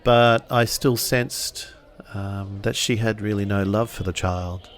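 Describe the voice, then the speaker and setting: Man playing a slow, musing samurai-era traveller. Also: fairly low pitch, fairly even intonation. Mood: musing, hesitant. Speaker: player in a tabletop roleplaying game. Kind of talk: improvised in-character dialogue.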